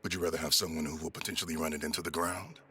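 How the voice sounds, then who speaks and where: A man playing a loyal, soft-hearted pirate quartermaster in an audio drama, persuasive and respectfully teasing. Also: a bass voice, fairly even intonation, racing, soft background music. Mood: earnest, persuasive. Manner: persuasive, deferential yet teasing.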